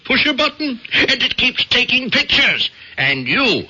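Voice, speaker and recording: An actor playing a pompous, zealous older newspaper boss with a husky voice and a bombastic, commanding manner in an old-time radio drama. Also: male voice, very high voice, very animated, a little slow, sharp tone, heavily acted. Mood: grandly excited. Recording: muffled vintage radio broadcast.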